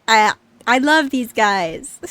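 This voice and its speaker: A young woman commenting casually on a game. She is excitable, breaks into laughter often and swears freely, with a highish voice that swings widely in pitch. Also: slow. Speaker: streamer. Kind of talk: casual let's-play commentary.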